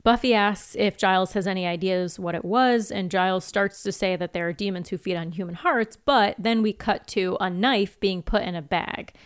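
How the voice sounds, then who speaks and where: A woman's voice: mid-range voice, moderate pace, hardly any pauses, smooth. Woman, podcast co-host, casual episode recap, playful mock-protesting manner, conversational.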